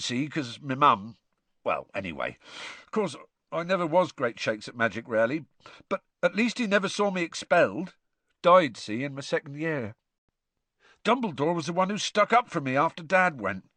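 A man voicing a huge, soft-hearted male gamekeeper with a hoarse, croaky voice and rough speech. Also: on the high side, no room sound, pitched above the performer's own voice, heavily acted. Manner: rough working-class speech.

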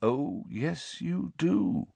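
Man doing a quiet kindly old wizard, low soft and calm, storybook, dry close sound. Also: a little slow, dry recording, full character voice.

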